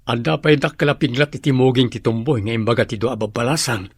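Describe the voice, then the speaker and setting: An actor with a Filipino accent playing a courteous, well-off gentleman in a radio drama, speaking with assurance. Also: medium pitch, fast talker, husky, no room sound. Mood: satisfied, assured.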